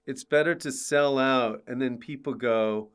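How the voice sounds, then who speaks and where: A man's voice: medium pitch, a little slow, clear, resonant tone. A man hosting a podcast as a casual comedy interview, tossing in teasing remarks as he asks his questions. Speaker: podcast host.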